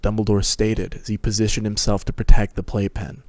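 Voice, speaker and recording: Guy narrating fan fiction, lowish voice, really dry close sound. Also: monotone, fairly quick, darkish tone, no echo. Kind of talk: dramatic reading of fan fiction.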